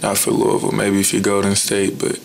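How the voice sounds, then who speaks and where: Young male athlete, low voice, monotone, blunt and measured at a press table mic. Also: vocal fry, slight background noise. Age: young adult. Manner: measured, even, blunt, frequent "you know". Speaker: college basketball player. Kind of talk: postgame press conference answers. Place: indoor press room. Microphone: table mic in front.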